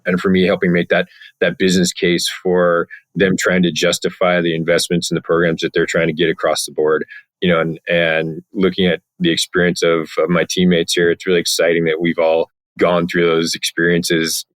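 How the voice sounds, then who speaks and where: Low, flat-voiced man in panel talk. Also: normal speed, dry recording. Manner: rambling, frequent "you know" fillers. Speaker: sustainability partner.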